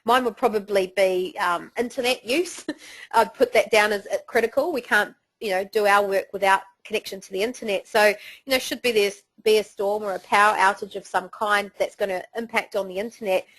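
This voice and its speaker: A woman's voice: medium pitch, fairly even intonation, a little fast, fairly bright tone, rough recording. Chatty New Zealand woman presenting. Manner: chatty, encouraging, with frequent fillers. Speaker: webinar presenter. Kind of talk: presenting a business webinar.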